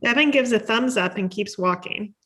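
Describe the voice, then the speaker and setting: A woman's voice: on the high side, normal speed, a bit bright. A woman reading stage directions as scripted narration at a table read.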